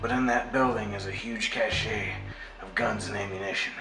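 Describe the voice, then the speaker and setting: A man playing an injured, grim and weary paramedic in a zombie radio play, with a gravelly voice. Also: lowish voice, steady tone, normal speed, close to an everyday voice. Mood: weary, halting. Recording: roomy echo, music underneath.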